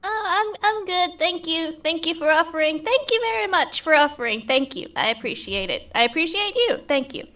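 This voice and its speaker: A woman's voice: very high voice, animated, normal speed, few pauses, bright, forceful tone, heavily acted. A woman voicing a naive young traveler in a tabletop game, turning down an offer with many polite thanks. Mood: flustered. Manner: flustered over-polite thanks. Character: naive young traveler who never saw a city.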